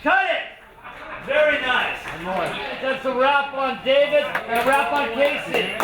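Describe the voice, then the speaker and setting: A man on a film set shouting cues and wrap calls to the crew. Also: very high voice, steady tone, measured pace, a loud crowd. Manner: shouting commands. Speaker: assistant director on set. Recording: echoey, from far off, music behind.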